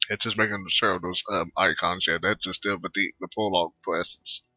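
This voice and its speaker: A man giving low-key, casual commentary on a video game and reading dialogue choices aloud. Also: fairly even intonation, normal speed, bright, forceful tone, breathy edge, dry recording, muffled recording, faint music behind. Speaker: gamer narrating a playthrough.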